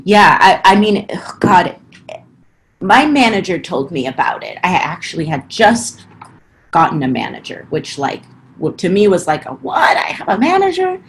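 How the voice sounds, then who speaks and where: A woman's voice: mid-range voice, slight background noise, rough recording. A podcast guest from Argentina, a woman with a slight Argentine Spanish accent, chatting casually and acting out her own past thoughts. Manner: animated, quick, acts out her own past thoughts in quotes. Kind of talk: casual interview chat.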